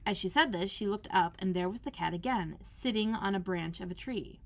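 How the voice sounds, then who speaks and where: A woman reading a children's storybook aloud in a careful, expressive narrating style, like a school librarian. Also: medium pitch, normal speed.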